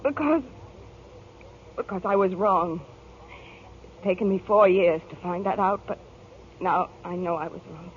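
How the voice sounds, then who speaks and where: An actress playing an anguished, protective mother in earnest, pleading 1940s radio drama style, sometimes halting with emotion. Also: on the low side, close to an everyday voice. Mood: regretful, confessional. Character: anguished, protective mother and divorced widow, adult. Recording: tinny, noisy 1940s radio broadcast recording.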